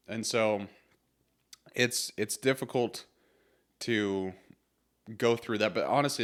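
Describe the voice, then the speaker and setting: Stuffy-nosed guy with a lowish voice, rambling podcast host talk, lots of ums. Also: a bit bright. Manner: casual, rambling with fillers and restarts. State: congested from a cold. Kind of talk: solo motivational podcast talk.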